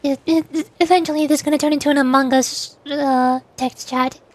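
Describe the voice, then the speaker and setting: A woman's voice: high-pitched, fairly even intonation, fairly quick, no room sound. A woman streaming as a VTuber, chatting casually and rambling, often restarting her sentences and laughing at herself. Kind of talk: casual livestream chat.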